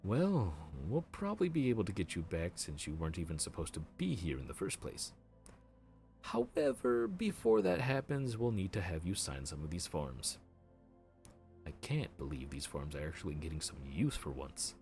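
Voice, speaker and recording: A man's voice: deep voice, big pitch swings, normal speed, a little mellow, soft background music. A man voicing a helpful, chatty male grim reaper who works the underworld front desk, explaining things politely in an audio roleplay. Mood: courteous.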